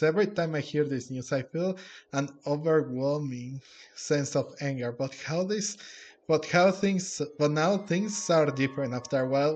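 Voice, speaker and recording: A man's voice: mid-range voice, a little mellow. A man streaming a video game, commenting casually and reading in-game text aloud. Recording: game music faintly behind.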